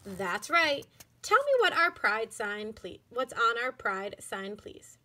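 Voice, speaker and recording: A woman voicing a grave schoolteacher with a measured, instructive, stern manner. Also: on the high side, very animated, bright, forceful tone, slight background noise, full character voice. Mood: gravely questioning. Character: grave, firm but kind woman schoolteacher.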